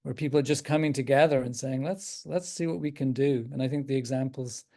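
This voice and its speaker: An older man with an Irish accent, a psychiatrist speaking as a guest on a video call, thoughtful and halting with frequent restarts. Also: medium pitch, steady tone. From Ireland. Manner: thoughtful, halting with many restarts and soft laughs. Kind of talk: casual talk on a video call.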